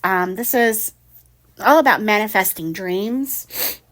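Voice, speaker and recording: A woman's voice: mid-range voice, slow pace, a bit bright. Blunt, emphatic woman with the sniffles. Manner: blunt, emphatic, keeps asking "okay?", swears casually. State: has the sniffles. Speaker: tarot reader. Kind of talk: casual tarot reading monologue.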